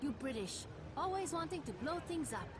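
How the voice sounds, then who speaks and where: A woman's voice: sits high, big pitch swings, moderate pace, very noisy. A woman playing a bossy, headstrong resistance scientist in a video game, firm and scolding. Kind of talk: video game cutscene acting.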